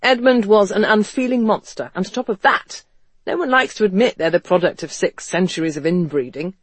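A man plays a well-bred English gentleman from a period novel, articulate and drily witty. The voice is pitched high and emphatic, in a close, dry audiobook sound. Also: a little fast, husky, no echo. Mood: wry, earnest. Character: wry upper-class Englishman, an impassioned period-novel gentleman. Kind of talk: audiobook character dialogue.

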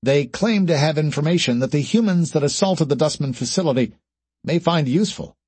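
A man's voice: on the high side, lively intonation, brisk, darkish tone, slightly rough, no echo, voice pushed up. A man voicing an alert communications officer on an alien starship, making formal reports in an audiobook. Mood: urgent report.

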